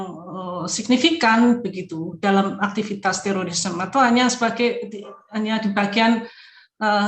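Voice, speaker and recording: A woman's voice: mid-range voice, reverberant. A woman with an Indonesian accent asks a researcher a question at a conference, hesitant and full of fillers. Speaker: audience member asking a question.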